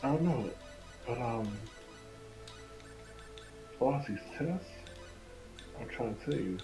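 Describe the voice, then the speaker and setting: A man talks casually in a stream voice chat at a middling pitch. He speaks haltingly and repeats phrases for emphasis. Music is clearly audible behind him. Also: animated.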